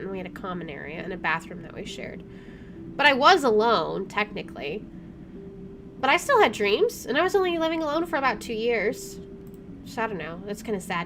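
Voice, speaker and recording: A chatty, expressive woman reading a visual novel aloud as she plays, with casual jokey commentary. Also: mid-range voice, noisy background. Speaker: gamer doing a first playthrough.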